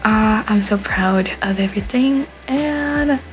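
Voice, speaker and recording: Soft-spoken young woman. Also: mid-range voice, slow, soft background music. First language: Haitian Creole. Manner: soft-spoken, rambling, frequent um fillers. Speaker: art vlogger. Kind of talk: voice-over vlog narration.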